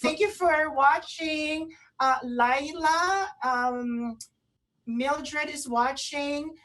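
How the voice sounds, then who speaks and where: A woman co-hosting an online radio show, chatting casually and explaining things in a halting way. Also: sits high, a bit bright. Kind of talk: casual talk, explaining and giving shout-outs.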